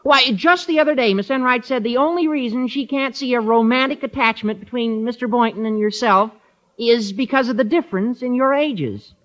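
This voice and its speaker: An actor playing an eager, gabby teenage high school boy in old radio comedy, youthful and earnest. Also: moderate pace. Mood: gossipy. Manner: earnest, excitable, chatty. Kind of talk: 1940s-50s radio comedy acting. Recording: muffled old radio broadcast recording.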